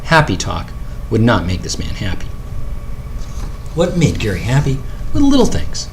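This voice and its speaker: A man's voice: medium pitch, wildly swooping pitch, moderate pace. Male, American, middle-aged, author-narrator for a first-person audiobook; mid-range voice, faintly noisy home-style recording. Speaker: author reading own audiobook.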